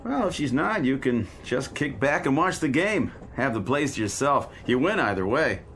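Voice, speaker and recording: A lively, chummy American bartender. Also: male voice, medium pitch, moderate pace, slight background noise. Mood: encouraging. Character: easygoing, chummy male club car bartender. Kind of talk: radio drama acting.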